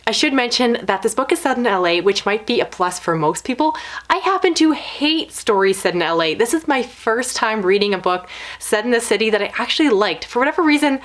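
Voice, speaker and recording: A woman's voice: fairly high pitch, animated, a little fast, fairly bright tone. A woman reviewing books in a casual video style, talking in long, considered explanations. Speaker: book reviewer.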